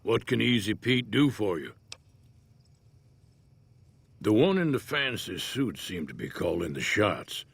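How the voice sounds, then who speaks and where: An actor playing an easygoing older American prospector in a Western-style video game, folksy and laconic in manner. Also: male voice, on the low side, sing-song, a little slow, gravelly, pauses often, fairly bright tone, husky, heavily acted. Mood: easygoing, wary. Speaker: game character, townsfolk prospector. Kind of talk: video game character dialogue.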